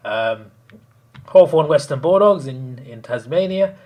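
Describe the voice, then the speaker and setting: A man chatting casually on a live stream, hoarse, rambling and laughing often. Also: mid-range voice, expressive, a little slow, a little mellow.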